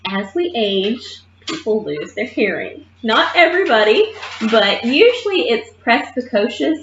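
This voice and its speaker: A woman's voice: mid-range voice, fairly bright tone, slight background noise. Woman, nursing assistant instructor, emphatic classroom lecture, keeps checking in with "okay?"; muffled, echoey room sound. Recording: muffled roomy classroom recording.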